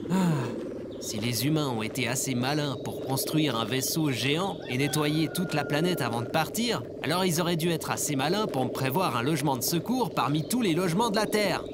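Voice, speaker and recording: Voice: medium pitch, animated, a little fast, close to an everyday voice. An actor in a French comedy audio drama playing a whiny, defiant, slangy last man left on Earth. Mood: exasperated. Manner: exasperated, slangy, grandiose. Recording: very noisy background of ambient effects.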